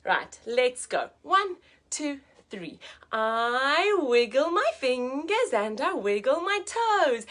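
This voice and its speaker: A woman hosting a children's story time like a teacher, expressive and sing-song, speaking directly to her young listeners. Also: sits high, slow. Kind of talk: animated read-aloud for young children.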